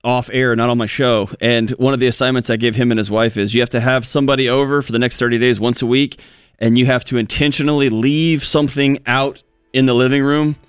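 A man's voice: medium pitch, little pitch movement, brisk, clear, resonant tone, no room sound, clean studio sound. A man co-hosting a talk show, speaking earnestly in casual conversation with frequent restarts. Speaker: co-host.

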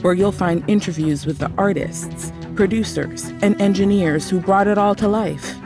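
A woman's voice: mid-range voice, moderate pace. A woman reading scripted podcast credits as an announcer. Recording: music bed behind the voice. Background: music.

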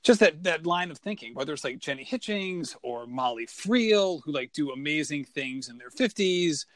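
A man's voice: on the high side, expressive, moderate pace, dry recording. A man hosting a podcast, chatting casually and rambling with many fillers as he asks questions. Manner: rambling, lots of "like", enthusiastic. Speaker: podcast host. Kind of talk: casual interview chat.

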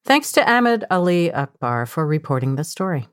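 A woman podcast host reading a scripted outro and credits in a bright, friendly way. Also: deep voice, very animated, moderate pace. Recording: faint music under the voice.

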